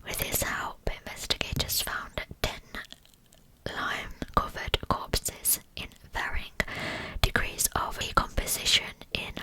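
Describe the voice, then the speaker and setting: A woman narrating a true crime story as ASMR, in an unvoiced, breathy whisper with a measured, hushed delivery. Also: low-quality recording. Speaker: ASMR channel host, narrator.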